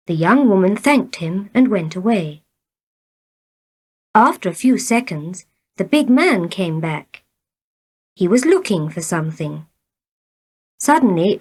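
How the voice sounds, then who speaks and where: Slow-reading woman narrator. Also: medium pitch, a little mellow, slightly rough. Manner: measured, deliberate reading. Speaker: storybook reader. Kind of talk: scripted narration of short tales.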